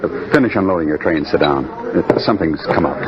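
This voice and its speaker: An actor playing a frustrated, insistent suitor in old-time radio drama style, earnest with a bitter edge. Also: male voice, extremely low pitch, animated, fairly quick, noisy background. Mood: uneasy. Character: frustrated, insistent suitor pressing his sweetheart to leave. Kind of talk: 1940s-50s radio drama acting. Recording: dull old radio recording, crowd chatter behind.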